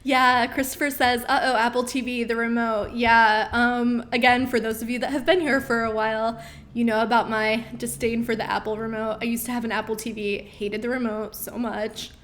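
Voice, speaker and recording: Upbeat woman host, fairly high voice. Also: level tone, normal speed, a bit bright. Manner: chatty, upbeat, with frequent ums. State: losing her voice. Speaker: host of a live Q&A stream. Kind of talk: casual live-stream chat reading viewer questions.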